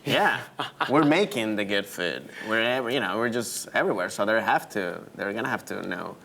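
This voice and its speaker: A man from Venezuela with a Venezuelan Spanish accent, a comedian chatting on a panel, halting and restarting as he talks. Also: lowish voice, expressive, vocal fry, sharp tone. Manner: halting, self-correcting, laughing asides.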